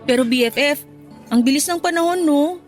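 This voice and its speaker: A woman's voice: sits high, a little fast, faint music behind, lightly acted. An actress playing a cheeky young career woman who banters with her best friend in a radio drama, teasing playfully. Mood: playful, fond. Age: young adult. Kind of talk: Tagalog radio drama acting.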